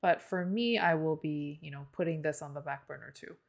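A woman's voice: deep voice, brisk, gravelly. A woman podcast co-host whose first language is Korean, chatting casually and easily with many fillers. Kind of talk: casual chat.

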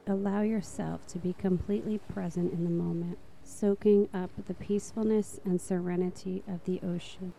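A woman narrating a guided meditation in a soft, slow, soothing manner. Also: fairly low pitch, fairly even intonation, creaky, a little hiss or hum, low-quality recording.

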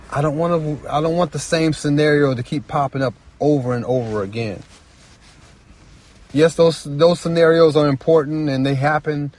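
A man's voice: medium pitch, darkish tone. Earnest man, noisy background. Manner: earnest, emphatic repetition of phrases. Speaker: writer and storyteller. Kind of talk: reflective personal talk about writing.

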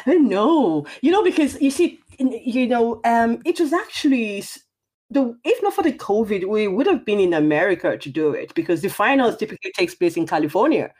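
Casting: Nigerian-accented woman, podcast guest, highly varied intonation, animated conversational delivery. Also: brisk, breathy edge. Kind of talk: conversational interview.

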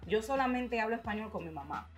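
A woman, a writer and organizer, telling her story in a relaxed interview and slipping into English phrases. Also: on the high side, fast talker, faint music behind. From Dominican-American. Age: adult, mother. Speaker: guest writer and community organizer. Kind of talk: casual interview chat.

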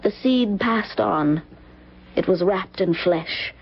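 A woman's voice: mid-range voice, slow pace, slightly rough. An actress playing a solemn, resolute young woman from a cursed family, in melodramatic old-time radio style. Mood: anguished, grim. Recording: noisy, muffled old radio broadcast.

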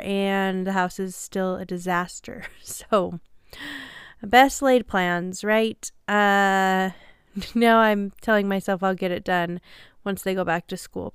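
A woman's voice: mid-range voice, clear, resonant tone, no echo, clean studio sound. A woman hosting a podcast in a casual, upbeat and self-deprecating chat, a little nasal from a passing cold. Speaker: podcast host.

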